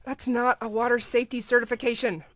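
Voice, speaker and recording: A woman's voice: on the high side, little pitch movement, fast talker, no room sound, lightly acted. A woman playing an exasperated, sarcastic seventeen-year-old camp counselor with dry comic timing in an audio sketch. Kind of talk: comedic audio sketch acting.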